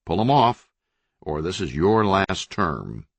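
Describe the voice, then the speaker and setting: A blunt, commanding governor, as a male narrator voices him in his own low, dry voice. He talks with tight control and shifts into profane orders, with a lively rise and fall of pitch in an audiobook reading. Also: slow pace, dry recording, exaggerated voice. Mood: angry commanding. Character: hot-tempered male state governor, forceful.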